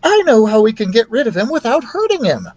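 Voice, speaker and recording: A man's voice: extremely high pitch, wildly swooping pitch, a little fast, darkish tone, husky, dull audio, voice pushed up, full character voice. A man voicing a sensible, kindly young woman kindergarten teacher in a children's storybook, speaking in a practical, careful manner. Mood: decisive.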